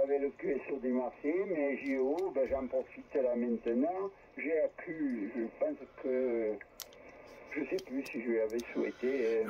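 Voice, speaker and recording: An older man talking in a casual, hesitant, meandering way as an amateur radio operator on air. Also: medium pitch. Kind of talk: casual ham radio chat. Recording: dull, faintly noisy amateur radio transmission.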